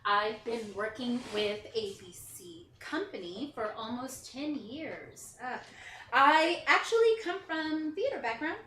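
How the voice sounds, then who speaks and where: Earnest, managing American producer played by a woman, halting and placating, higher-pitched, heard in a roomy stage reading. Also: expressive, bright, forceful tone, full character voice. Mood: earnest, formal. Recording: roomy, distant, faintly noisy recording.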